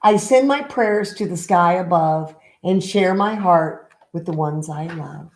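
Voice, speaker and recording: A middle-aged woman in a gentle read-aloud style with casual, chatty asides and a halting, unhurried manner. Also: lowish voice. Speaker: spiritual healer reading a picture book aloud.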